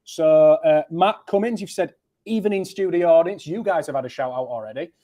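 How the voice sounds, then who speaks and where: Upbeat Yorkshire male host, higher-pitched, chatty, greets viewers by name. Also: brisk, mellow. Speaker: livestream host. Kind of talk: casual live stream chat.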